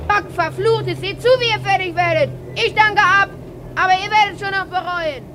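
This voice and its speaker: High-voiced, bossy boy gang leader. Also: moderate pace, noisy background. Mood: angry. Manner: barking orders, boastful. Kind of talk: German radio play acting.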